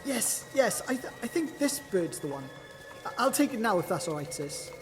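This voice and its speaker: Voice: medium pitch, very animated, moderate pace, lightly acted. An actor playing a cowardly, whining manservant turned thief in a festive radio play, pleading and flustered. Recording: long hall reverb, loud music underneath.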